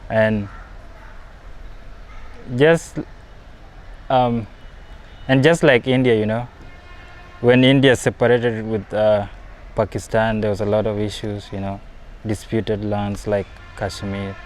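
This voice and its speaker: A young man from South Sudan telling his story in a documentary interview. He is reflective and grows animated when he talks about performing. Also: fairly low pitch, slow pace, long pauses, slight background noise. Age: young adult.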